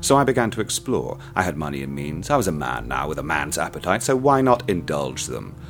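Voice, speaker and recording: A man playing a cold killer narrating his own story in a radio play. His voice is very deep and gravelly, but it moves lively through its range. Faint music plays under the narration. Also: heavily acted. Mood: brooding. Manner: slow, brooding confession. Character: cold, self-satisfied male killer looking back. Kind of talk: dark first-person narration in an audio drama.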